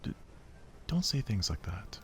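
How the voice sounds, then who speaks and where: A man voicing a timid, mature high school girl from a visual novel, polite and demure. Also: very animated, unhurried, in a whisper. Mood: flustered.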